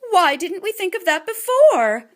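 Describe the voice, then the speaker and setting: A woman's voice: very high voice, big pitch swings, measured pace, voice pushed up, full character voice. A woman voicing a spirited mother mouse in a storybook, exclaiming her lines. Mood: excited.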